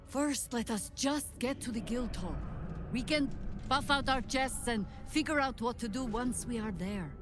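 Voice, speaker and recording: A woman's voice: fairly high pitch, a little slow, over music, natural voice. An actress playing a practical, level-headed female adventurer in a video game, with a dry, firm manner. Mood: exasperated, firm. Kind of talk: video game character acting.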